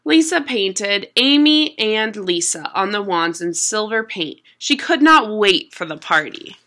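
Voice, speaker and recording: A young adult woman reading a children's picture book aloud at home in an expressive, deliberate storytelling manner. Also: mid-range voice. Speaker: storybook reader. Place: small room at home.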